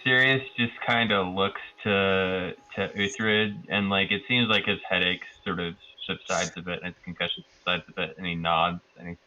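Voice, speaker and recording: A man's voice: low-pitched, fairly even intonation, normal speed, hardly any pauses, pushed and bright, highs cut off. A man playing a tabletop game, casually improvising his character's actions and groping for words. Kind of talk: casual improvised roleplay narration.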